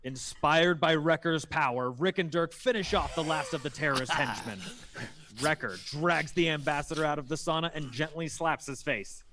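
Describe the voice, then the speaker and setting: A man's voice: on the high side, normal speed, bright, forceful tone. A man narrating a scripted action comedy, emphatic and dramatic with a tongue-in-cheek edge. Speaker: narrator reading stage directions.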